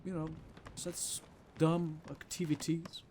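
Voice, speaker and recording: A man's voice: fairly high pitch, flat delivery, slow pace, darkish tone, slight background noise. A man doing casual game commentary, playful, with muttered asides and exclamations. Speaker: gaming video host.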